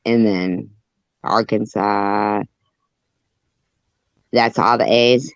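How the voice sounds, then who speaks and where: A man's voice: lowish voice, steady tone, drawn-out words, smooth, no room sound, muffled recording. A man in casual chat who argues each point and spells out letters to prove it.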